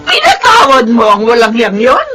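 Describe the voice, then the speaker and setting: A woman's voice: a little high, wildly swooping pitch, normal speed, over music. An actress playing a feisty, protective elderly mother in a Filipino radio drama, scolding and combative. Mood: indignant scolding. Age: older.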